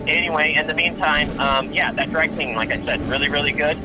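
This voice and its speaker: Goofy, higher-voiced rambling buddy played by a man. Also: fast talker, heavy background noise, natural voice. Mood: affectionate, casual. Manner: playful, rambling. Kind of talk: naturalistic fiction podcast voicemail acting.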